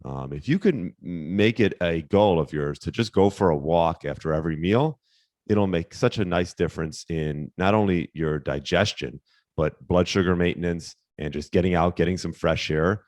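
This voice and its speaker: A man hosting a casual solo fitness podcast, slightly hoarse, talking in a relaxed, rambling way with fillers and restarts. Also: low voice, normal speed, no echo, clean studio sound. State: slightly hoarse, losing his voice. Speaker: podcast host, fitness coach.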